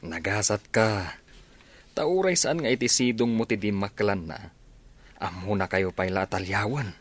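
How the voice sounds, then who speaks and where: An actor with a Filipino accent playing a steady, frank village friend in a radio drama. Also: male voice, lowish voice, a little slow. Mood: counseling.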